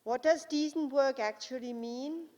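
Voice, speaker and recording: A woman with a Danish accent, a native Danish speaker, giving a formal conference speech as a guest speaker. She talks slowly and deliberately, pausing with frequent fillers. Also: sits high, a little mellow. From Denmark. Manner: deliberate, slow and careful, with frequent uh pauses. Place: large conference hall. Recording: long hall reverb.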